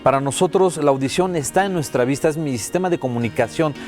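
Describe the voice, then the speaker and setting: A man with a Mexican accent voicing a deaf guest's words as an interpreter in a TV interview, steady and first-person with many "eh" fillers. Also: a little high, quick pace, soft background music.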